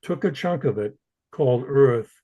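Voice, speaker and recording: Male guest, husky voice, earnest and emphatic. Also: medium pitch, animated, normal speed, warm tone, dull audio. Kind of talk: casual conversation.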